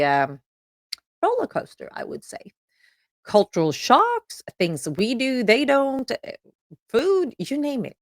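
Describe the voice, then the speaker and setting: A woman's voice: mid-range voice, no room sound, clean studio sound. A middle-aged woman from Sweden with a Swedish accent, hosting a podcast and chatting upbeat with frequent ums. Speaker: podcast host. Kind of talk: solo promotional announcement.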